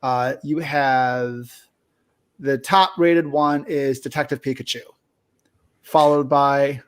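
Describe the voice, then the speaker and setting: A man hosting a casual group discussion about movies, talking haltingly and often restarting his phrases. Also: mid-range voice, steady tone, clean tone. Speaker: podcast host.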